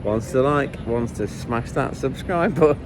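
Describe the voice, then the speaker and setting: Male vlogger, chatty and joking, slightly hoarse, mid-range voice, traffic rumbling behind on a handheld camera. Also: animated, a little slow. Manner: chatty, jokey, rambling asides. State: a bit hoarse and run down. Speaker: football travel vlogger. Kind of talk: walking travel vlog chat. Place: outdoors on city streets, walking. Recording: street traffic noise behind.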